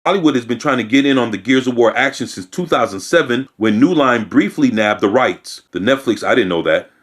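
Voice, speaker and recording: A man talks through a news piece in a loose, unscripted way, reading parts aloud and then cutting in with blunt, profane opinions. His voice sits at an ordinary middle pitch. He often trips over and restarts his sentences as he goes. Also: expressive, fairly quick, a bit bright. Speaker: video commentator. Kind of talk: casual reaction rant reading news aloud.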